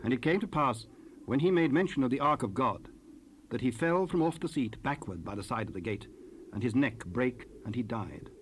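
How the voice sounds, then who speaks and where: Casting: male narrator for dramatized scripture, medium pitch, slightly noisy tape rip. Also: lively intonation, moderate pace. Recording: noisy raw cassette rip.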